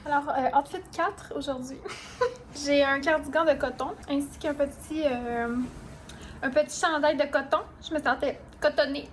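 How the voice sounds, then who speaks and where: A woman's voice: high-pitched, steady tone, moderate pace, a little hiss or hum. A young woman with a Québécois accent, chatting playfully through a casual travel vlog, with lots of fillers. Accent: Québécois French. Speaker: vlogger. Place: hotel room and shops on a trip.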